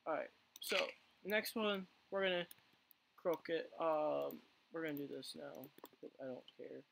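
A man with a hoarse voice he says he is losing, giving rambling casual let's play commentary full of fillers and restarts. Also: fairly high pitch, a little slow, fairly bright tone. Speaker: gaming channel host.

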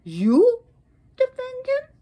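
Imperious, indignant goddess of myth, performed by a female storyteller; high, emphatic, deliberate. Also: expressive, mellow, breathy edge, exaggerated voice. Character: furious, imperious wronged goddess pronouncing curses. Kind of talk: oral mythological storytelling.

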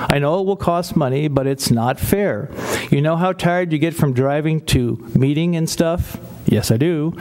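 An older man in his sixties, a city council member, reading a child's letter aloud at a meeting with amused asides, speaking into a desk microphone in a council chamber. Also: a little high. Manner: warm, amused asides between read lines. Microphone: desk gooseneck mic.

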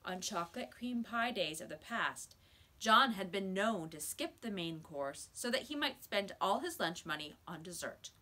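Middle-aged woman, mid-range voice, measured and expressive storybook reader for young pupils. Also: medium pitch. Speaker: teacher reading aloud. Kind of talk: children's storybook read-aloud. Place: small school office or library room, indoors.

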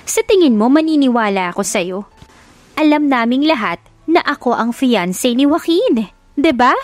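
Scorned young female rival, voiced by a woman narrator, shrill, high, furious and dramatic, for a love-story audiobook. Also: animated, normal speed, no room sound, faint music behind. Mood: indignant shouting. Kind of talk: dramatic audiobook character acting.

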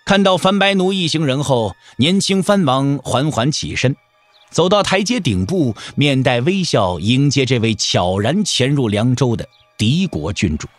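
A man's voice: mid-range voice, big pitch swings, unhurried, no echo, faint music behind. A man narrating a martial arts audio drama in a dramatic, emphatic storytelling style.

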